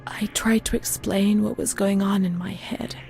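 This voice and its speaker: A woman playing a fragile, haunted young former asylum patient in a narrative game, delivering a slow, halting, confessional monologue in a breathy voice that often sinks to a whisper. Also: mid-range voice, fairly even intonation, darkish tone, natural voice. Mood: traumatized, anguished. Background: music.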